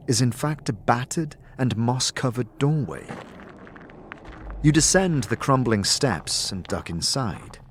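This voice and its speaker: A man's voice: mid-range voice, slight background noise. A man reading dramatic, scripted second-person narration of a fantasy story. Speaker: narrator and game master. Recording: music under the voice. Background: background music.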